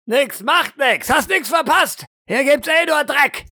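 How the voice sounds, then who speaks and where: A man playing a brash, foul-mouthed army door gunner in an audio drama, shouting with crude swagger. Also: very high voice, animated, unhurried, no room sound, clean studio sound, full character voice. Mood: gruff bravado.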